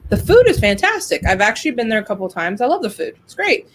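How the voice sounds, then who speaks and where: A woman's voice: fairly high pitch, big pitch swings, quick pace. Outspoken American woman co-host, noisy recording. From New Mexico, USA. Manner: candid, outspoken, informal. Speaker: co-host of a business show. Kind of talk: casual chat.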